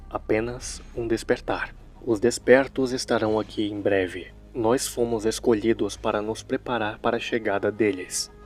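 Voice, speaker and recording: A man's voice: medium pitch, a little mellow. A Brazilian man narrating a story recap in a casual commentary style, with wry, joking asides. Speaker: narrator of a horror series recap video.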